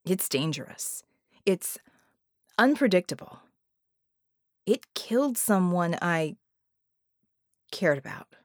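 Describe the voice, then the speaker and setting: A woman voicing a bitter, guilt-ridden man in an audiobook, gruff and emphatic in manner. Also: lowish voice, big pitch swings, takes it slowly, long pauses, no echo, studio quality. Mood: bitter, haunted. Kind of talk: dramatized audiobook reading.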